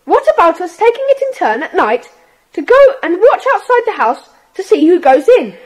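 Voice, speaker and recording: A woman playing an earnest, expressive schoolboy in a children's audiobook drama. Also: very high voice, normal speed, poor audio. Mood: earnest, planning. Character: Jack, earnest schoolboy in a secret club.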